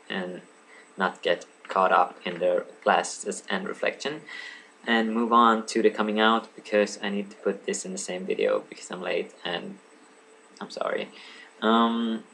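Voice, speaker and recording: A man's voice: on the low side, fairly even intonation, a little slow, vocal fry, bright, forceful tone, a little hiss or hum, poor audio. A young man in his late teens, a native Swedish speaker, rambling casually to a webcam at home, full of ums, asides and apologies. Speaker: vlogger. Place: home room lit by a lamp.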